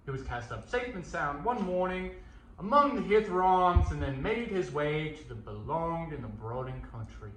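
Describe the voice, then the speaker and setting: A man's voice: fairly high pitch, lively intonation, normal speed, a bit bright. A man playing an envious, scornful warrior, declaiming taunts in theatrical verse with emphatic delivery. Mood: scornful, taunting. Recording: roomy echo, faint noise.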